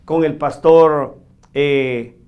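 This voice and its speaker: A priest from El Salvador tells a long story in an interview, slightly higher-pitched than most men. He talks in a thoughtful, unhurried way, pausing and restarting as he remembers. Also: fairly even intonation, lo-fi sound. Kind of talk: interview answer, recounting.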